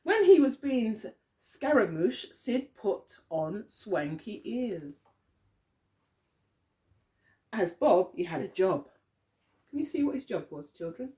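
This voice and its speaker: A woman teacher reading a picture story aloud to young children, expressively, often pausing to put questions to them. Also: medium pitch, big pitch swings, dark tone.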